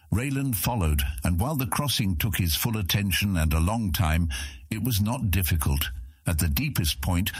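A man narrating a scripted fantasy audiobook in a measured storytelling manner. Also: sits low, slightly rough, dry recording, studio quality.

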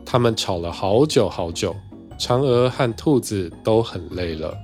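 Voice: mid-range voice, animated, measured pace, loud music behind the voice. A storyteller narrating a scripted children's tale in simple storybook phrasing.

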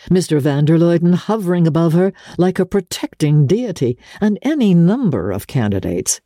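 Mellow, expressive woman narrating a classic novel. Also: low voice, dry recording, studio quality. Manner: measured, expressive storytelling. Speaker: audiobook narrator. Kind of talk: dramatic reading of classic literature.

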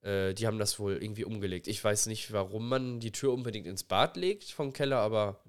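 A man's voice: fairly low pitch, a little fast. Casual young man with a cold. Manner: rambling, filler-heavy, colloquial. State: has a cold. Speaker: podcast co-host. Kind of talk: casual chat over a voice call. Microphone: recording mic, directly connected.

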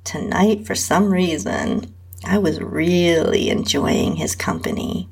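A woman's voice: on the low side, wildly swooping pitch, takes it slowly, creaky, breathy edge. A woman host narrating an intimate first-person erotic story.

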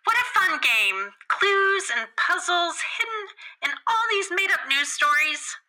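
An actress playing an eager, earnest caller to a game show in an audio drama, deliberate and excitable. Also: female voice, very high-pitched, full character voice, poor audio. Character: eager female game-show contestant calling in, sweetly earnest. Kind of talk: radio drama acting.

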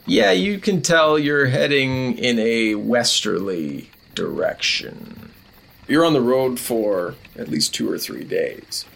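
A man narrating an improvised tabletop game as game master, pausing often mid-sentence. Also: mid-range voice, animated, vocal fry, a bit bright.

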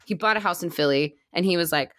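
A woman's voice: on the low side, fast, fairly bright tone. A woman chatting casually and enthusiastically as a co-host of a baseball fan podcast. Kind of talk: casual chat.

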